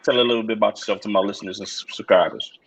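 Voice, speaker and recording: A man with a lowish voice hosts a casual interview and asks his questions in a relaxed, loose way. The recording sounds dull and lo-fi. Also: rapid-fire, creaky, breathy edge. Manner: relaxed, repeats "all right". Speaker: podcast host.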